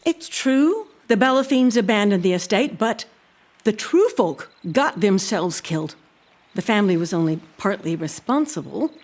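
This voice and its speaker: An actress playing an earnest, proud housekeeper who tells a haunting tale in a deliberate, expressive way. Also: female voice, mid-range voice, close to an everyday voice. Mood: earnest, guarded. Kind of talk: dramatic audio-drama storytelling.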